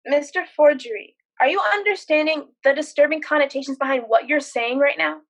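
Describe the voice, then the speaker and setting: A woman's voice: sits high, a little fast, a bit bright. A young woman playing an outspoken young paralegal in a student stage drama, with a sarcastic, firm way of talking. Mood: indignant, scolding. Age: young adult. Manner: sarcastic, firmly lecturing.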